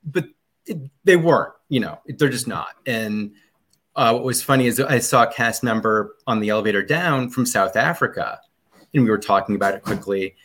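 A man's voice: medium pitch, animated, moderate pace, a bit bright. A man as a podcast guest in casual chat, rambling about a trip with many ums and restarts.

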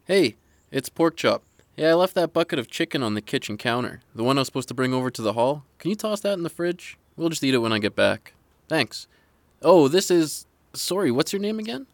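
A man's voice: on the high side, expressive, brisk, no echo. A man playing a cheeky, easygoing small-town guy in an audio drama, with a casual, teasing, folksy manner. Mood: casual, friendly. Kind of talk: naturalistic audio drama dialogue.

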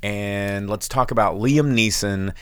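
A man hosting a casual chat show, rambling and animated, with sudden loud bursts in a big dad voice. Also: on the low side, slow pace, clear, resonant tone, no room sound. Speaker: podcast host and bar owner.

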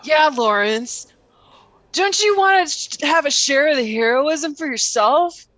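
A woman's voice: high-pitched, lively intonation, slow pace, fairly bright tone, dry recording, exaggerated voice. A woman playing an envious, disgruntled student who craves heroism, dry and exasperated in an improvised comedy sketch.

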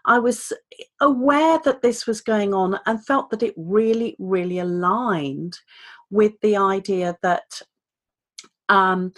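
A woman who works as a speaking trainer, talking in an articulate, measured way as a podcast guest. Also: medium pitch. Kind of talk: conversational interview.

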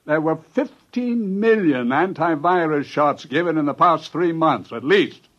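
An actor playing an impatient, didactic virus researcher in a 1950s radio drama, explaining things emphatically. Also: male voice, fairly high pitch, lively intonation, measured pace. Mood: worried. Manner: explanatory, emphatic, know-it-all. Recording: dull, lo-fi 1950s radio recording.

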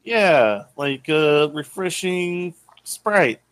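A man's voice: on the high side, lively intonation, extremely slow, fairly bright tone, no room sound. A man chatting casually as a co-host on a podcast. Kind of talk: casual chat.

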